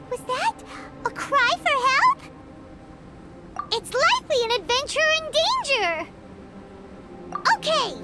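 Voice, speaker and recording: A woman voicing a tiny, excitable, childlike fairy companion in a video game, chatty and exclamatory, with a squeaky voice. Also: heavy background noise, heavily acted. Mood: alarmed, eager. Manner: exclamatory, refers to herself in third person. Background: loud game music.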